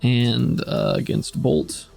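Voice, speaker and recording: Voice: mid-range voice, wildly swooping pitch, takes it slowly, vocal fry, a little mellow, slightly rough, soft background music. A game master casually narrating a tabletop roleplaying game, improvising in a conversational way.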